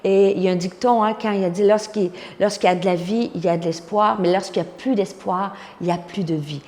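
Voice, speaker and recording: A woman's voice: mid-range voice, fairly even intonation, fairly quick, a little mellow. A woman in her fifties with a Québécois accent, a pastor and conference speaker giving a personal testimony with words of encouragement. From Quebec, Canada. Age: 50s. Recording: long hall-like reverb.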